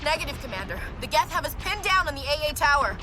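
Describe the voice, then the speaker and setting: Soldier, urgent, high-pitched, battlefield radio, clear background noise, video game. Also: female voice, big pitch swings, fast. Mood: strained, resolute. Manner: urgent, shouted military reports. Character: embattled, determined female soldier.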